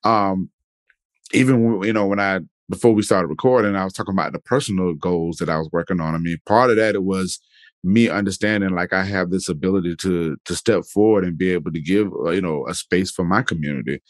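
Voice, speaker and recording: A man's voice: deep voice, a little fast, no echo, studio quality. A man hosting a podcast, asking questions in a loose, conversational way. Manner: loose and conversational, often adds "you know what I'm saying". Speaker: podcast host. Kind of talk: casual interview chat.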